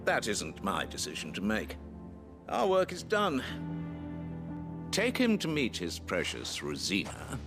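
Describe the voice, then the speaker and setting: An actor playing a coolly appraising lord in a video game, gravelly, with a formal, wry and authoritative manner. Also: male voice, on the low side, wildly swooping pitch, unhurried, long pauses, music in the background, full character voice. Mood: commanding. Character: haughty, coolly appraising lord in command of henchmen. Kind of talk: dramatic video game acting.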